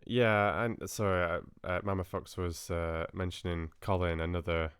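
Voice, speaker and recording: A man's voice: deep voice, level tone, moderate pace, fairly bright tone, clear, resonant tone, no room sound, studio quality. A man hosting a livestream, chatting casually with viewers in a friendly, hesitant way with trailing asides. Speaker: livestream host. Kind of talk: casual chat with live viewers.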